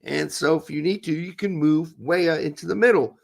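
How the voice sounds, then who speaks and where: A man co-hosting a casual podcast chat, hoarse with his voice going, blunt and opinionated with some swearing. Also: on the high side, normal speed. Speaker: co-host.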